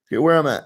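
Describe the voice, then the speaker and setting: A man's voice: mid-range voice, slightly rough. A young man with a French accent, speaking English as a second language, casually chatting on a livestream and reading comments aloud with easy laughs. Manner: relaxed and chatty, often laughing softly. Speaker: livestream host reading chat.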